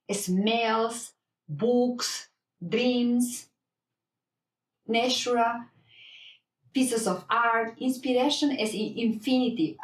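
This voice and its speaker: A woman from El Salvador, a knitwear designer presenting her work in simple, phrasing. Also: on the high side. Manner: non-native phrasing, simple direct sentences. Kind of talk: casual spoken presentation. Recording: roomy, from a distance.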